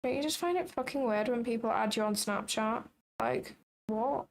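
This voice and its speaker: Young woman, fairly high voice, casual livestream trader, blunt, sarcastic, sweary. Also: expressive, normal speed, slight background noise. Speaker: futures trading livestreamer.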